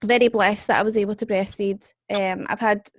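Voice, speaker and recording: A woman's voice: mid-range voice, steady tone, a little fast, no echo, studio quality. A woman, hosting a casual group chat with other young mothers and talking emphatically. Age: 20s. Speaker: host.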